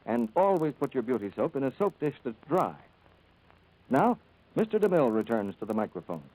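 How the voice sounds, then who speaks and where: A man announcing on 1940s radio in a polished, scripted sales style, as host and soap pitchman. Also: sing-song, brisk, darkish tone, poor audio.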